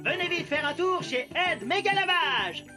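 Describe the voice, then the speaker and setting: A woman playing a peppy car wash advert announcer in a cartoon, delivering a promotional pitch. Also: animated, normal speed. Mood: peppy, inviting. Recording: loud jingle music behind the voice.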